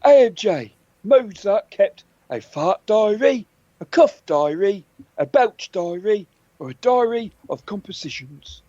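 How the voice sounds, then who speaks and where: A man's voice: high-pitched, lively intonation, unhurried, warm tone, no room sound, highs cut off. A man co-hosting a comedy podcast, reading out quiz questions playfully and with stumbles. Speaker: podcast co-host and quizmaster.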